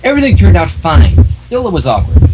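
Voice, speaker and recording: A man's voice: high voice, very animated, a little fast. A man informally reading a fan story aloud on a livestream, adding his own offhand comments. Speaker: livestream fanfiction reader. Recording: noisy home livestream microphone.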